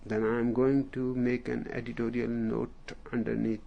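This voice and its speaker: A man with an Indian accent, a native Hindi speaker, telling a story as a spiritual teacher in a deliberate, unhurried way with long pauses. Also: mid-range voice, level tone, vocal fry, muffled recording. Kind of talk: slow storytelling discourse.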